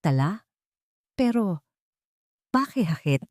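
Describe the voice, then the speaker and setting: Woman acting wealthy widowed father, audio drama, proud but shaken, halting, expressive, dry sound. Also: mid-range voice, no echo. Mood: shocked disbelief.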